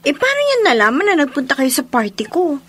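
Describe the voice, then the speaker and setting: A loyal young best friend in a Filipino radio drama. Her high voice moves in lively swings, concerned and sympathetic, recorded close and dry. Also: quick pace, no echo, heavily acted. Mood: sympathetic, worried.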